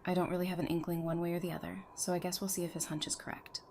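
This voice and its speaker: A woman's voice: on the low side, flat delivery, rapid-fire, darkish tone, a little hiss or hum, natural voice. A woman playing a stubborn, affectionate young mother and wife in an audio drama, casual and wry. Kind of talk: fan audio drama acting.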